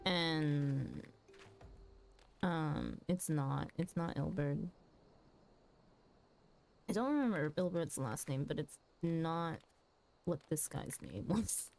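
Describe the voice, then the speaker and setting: Woman streamer with a mellow, lowish voice. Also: lively intonation, gravelly. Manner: chatty, halting, muttering asides to self. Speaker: streamer, host of a live game stream. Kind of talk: casual live game-stream commentary, reading dialogue aloud. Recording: music behind the voice. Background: background music.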